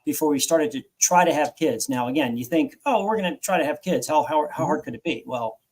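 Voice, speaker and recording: A man's voice: fairly high pitch, very animated, brisk, darkish tone. A man in his forties, a guest author recounting his own story in an interview, earnest and reflective, often restarting phrases.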